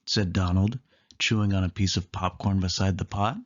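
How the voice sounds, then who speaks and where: A storyteller reading a children's book aloud in an animated way, lingering on listed words. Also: sits low, normal speed, dull audio.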